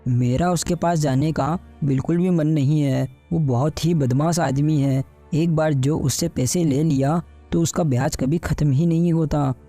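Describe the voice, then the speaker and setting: A man's voice: fairly high pitch, fairly even intonation, a little slow, mellow, soft background music. A man voicing a poor, worried village farmer with a plaintive, plain-spoken manner in a storybook cartoon. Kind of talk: Hindi animated bedtime story dialogue.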